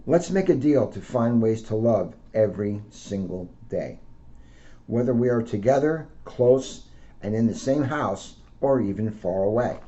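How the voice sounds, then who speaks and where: Dark, mellow-voiced American man, muffled recording. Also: fairly low pitch, expressive, a little slow. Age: middle-aged. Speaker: school resource police officer reading a children's book. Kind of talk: read-aloud and informal talk to schoolchildren. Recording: dull lo-fi audio with faint noise.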